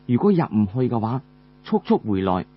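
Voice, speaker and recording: Man voicing a grave, quiet woman commander. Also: mid-range voice, very animated, normal speed, slightly rough, muffled recording, pitched below the performer's own voice. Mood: grave, cautioning. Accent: Cantonese. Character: female army commander, composed, careful, authoritative.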